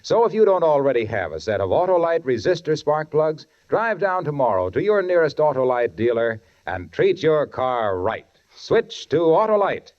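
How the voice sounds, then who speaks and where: A man's voice: on the high side, very animated, normal speed, hardly any pauses, a little mellow. A male announcer delivering 1940s radio advertising and announcements with folksy, punchy salesmanship. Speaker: announcer and sponsor pitchman. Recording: dull 1940s radio broadcast, music behind.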